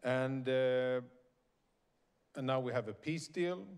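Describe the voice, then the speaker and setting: A man who grew up in Sweden, giving a prepared speech to a live audience at a conference, in a measured, emphatic and deliberate way. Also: medium pitch, darkish tone, smooth. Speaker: conference speaker. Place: conference venue in New York.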